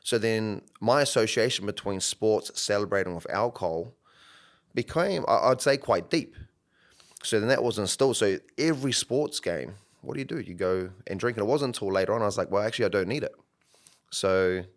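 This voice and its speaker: Deep-voiced New man, easygoing. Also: expressive, moderate pace. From New Zealand. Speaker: podcast co-host, coach. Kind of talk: casual podcast chat.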